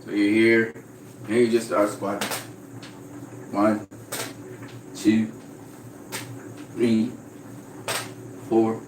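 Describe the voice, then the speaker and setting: A man from Trinidad chatting casually on a livestream, reading out viewer comments in a relaxed way. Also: lowish voice, drawn-out words, pauses often, noisy background. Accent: slight American-sounding Trinidadian. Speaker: vlogger.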